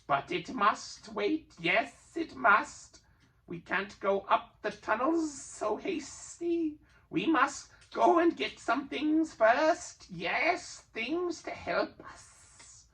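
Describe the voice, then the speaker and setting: A man voicing a wretched, cunning, hissing cave creature, male, with a shrill, strained voice. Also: very animated, extremely slow, pushed and bright, far from the mic, exaggerated voice. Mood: wheedling.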